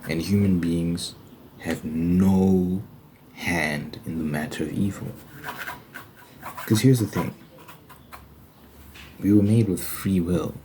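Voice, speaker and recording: Deep, dark-toned man in casual ASMR monologue. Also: steady tone, slow, pauses often, low-quality recording. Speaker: ASMR video creator. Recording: faint background noise.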